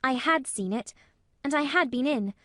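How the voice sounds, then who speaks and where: A woman acts out a young storybook girl with a high voice. She is blunt, solemn and earnest, speaking emphatically and plainly in a dry, close sound. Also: expressive, normal speed, no echo. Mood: confessing. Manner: frank, matter-of-fact, emphatic. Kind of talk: acted storybook dialogue.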